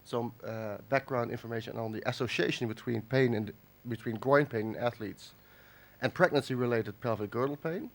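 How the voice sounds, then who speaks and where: A man from the Netherlands with a cold, giving a halting academic conference lecture with many fillers and restarts. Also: mid-range voice. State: has a cold. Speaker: conference speaker. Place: conference hall.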